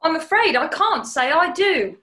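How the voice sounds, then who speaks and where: An actress playing a plucky, earnest British housemaid with a prim manner in a 1940s radio play style. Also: female voice, very high-pitched, lively intonation, measured pace, bright, forceful tone, exaggerated voice.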